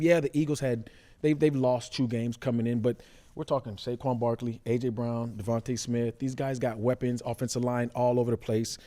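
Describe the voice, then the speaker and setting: A man's voice: medium pitch, dark tone. A man talking casual sports analysis as a former player, making emphatic points and repeating phrases for stress. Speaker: former safety, show analyst.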